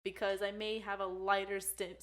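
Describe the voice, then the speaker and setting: A woman's voice: mid-range voice, little pitch movement, normal speed, fairly bright tone. A woman on a casual podcast, talking through her experiences thoughtfully and hesitantly, with many "like" fillers. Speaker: podcast co-host. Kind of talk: casual chat.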